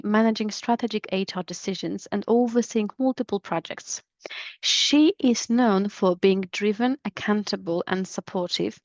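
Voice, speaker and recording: A woman's voice: medium pitch, no echo. Woman, Polish accent, business English podcast host, thoughtful, hedging, dry slightly dull sound. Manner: thoughtful, many fillers and hedging phrases. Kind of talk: solo podcast explanation.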